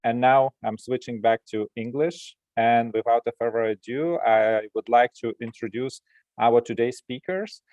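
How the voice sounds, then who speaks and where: A man with a Lithuanian accent hosts a formal online event in a measured, polite way. His voice sits in a middle pitch. The sound is dry and close, with no room to it. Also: a little mellow, no room sound, muffled recording. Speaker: virtual host. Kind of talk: formal webinar hosting. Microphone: video call.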